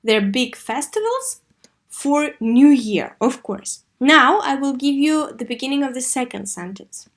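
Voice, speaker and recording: A woman teaching an English lesson to young learners, asking questions and answering them herself, with key words pronounced slowly and deliberately. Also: sits high, lively intonation. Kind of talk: scripted online lesson addressed to young learners.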